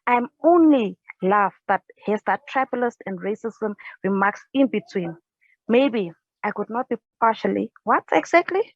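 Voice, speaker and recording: A young woman from South Africa reciting her own spoken word poem at home on a couch, earnest and halting, with restarts, into an earphone mic. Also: mid-range voice, animated, dry recording. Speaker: poet. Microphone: earphone headset mic. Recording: dry, dull lo-fi sound.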